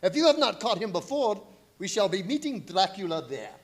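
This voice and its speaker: Casting: imperious older vampire-hunting professor acted by a man, high voice, big melodic swings, old-time radio style. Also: big pitch swings. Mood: resolute. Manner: deliberate, theatrical, imperious. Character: commanding older male professor, grave vampire hunter.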